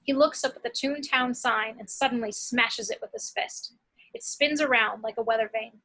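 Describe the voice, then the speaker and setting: A woman's voice: on the high side, moderate pace, sharp tone, low-quality recording. A woman reading screenplay action and scene headings as scripted narration.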